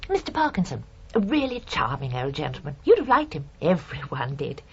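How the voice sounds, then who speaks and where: A woman's voice: low voice, very animated, brisk, breathy edge, full character voice. A woman playing a fussy, house-proud murderous landlady in a radio crime drama, with a British accent and a prim, chillingly matter-of-fact, indignant manner. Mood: sweetly menacing. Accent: British English. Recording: dull radio recording with faint hiss.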